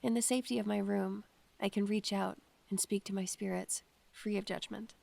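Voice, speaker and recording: A woman playing a melancholy, introspective young female student in an audio drama, speaking in a wistful, measured way. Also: medium pitch, fairly even intonation, vocal fry, dark tone. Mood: wistful, brooding. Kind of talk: audio drama narration and acting.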